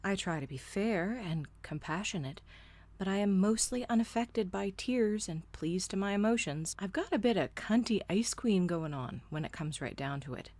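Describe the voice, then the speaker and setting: A woman's voice: medium pitch, lively intonation, moderate pace, a little mellow, no echo. A woman delivering scripted opinion commentary in a blunt, sardonic manner, casually profane. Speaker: host of a solo commentary show.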